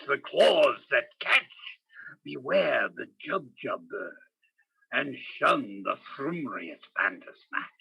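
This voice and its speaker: A grand old-time radio storyteller, acted by a man, recites verse with theatrical drama. The delivery is slow and swoops widely in pitch. The voice is rough and husky, moving between hush and roar. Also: dull audio, full character voice. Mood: ominous. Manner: dramatic, swings from whispers to shouts.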